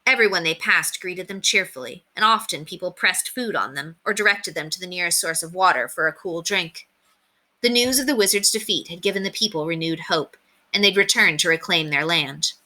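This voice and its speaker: Bright-voiced woman reading a children's story. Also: on the low side, a little fast. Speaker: teacher reading aloud. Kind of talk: expressive read-aloud storytelling of a children's book.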